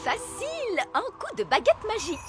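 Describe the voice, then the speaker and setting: A high-voiced, exasperated fairy nanny from a cartoon. She is sarcastic and matter-of-fact, with wildly swooping intonation. Loud music plays behind her. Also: wildly swooping pitch, normal speed, exaggerated voice. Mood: dry, doubtful. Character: grumpy, exasperated fairy nanny with a magic wand. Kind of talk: French cartoon dubbing.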